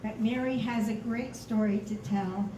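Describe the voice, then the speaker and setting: A woman hosting an event speaks slowly and evenly as she introduces a guest. Her voice comes from a distance in an echoey room, with noise underneath. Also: fairly high pitch, fairly even intonation. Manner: slow, measured and grateful. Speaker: event host. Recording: echoey hall from far off, noisy.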